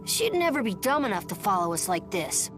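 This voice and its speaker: A woman's voice: medium pitch, very animated, brisk, music in the background, natural voice. A woman voicing a brash, irritable young wind spirit in a video game, curt and scornful.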